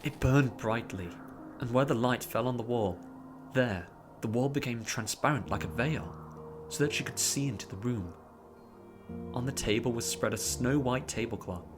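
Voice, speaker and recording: A man narrating a story in a dramatic, expressive audiobook style, drawing out words theatrically. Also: medium pitch, normal speed. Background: music behind the voice.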